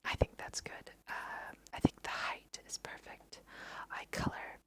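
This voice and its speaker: A woman softly whispering ASMR game commentary, thinking aloud in an unhurried way as she guides listeners through her play. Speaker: let's play host.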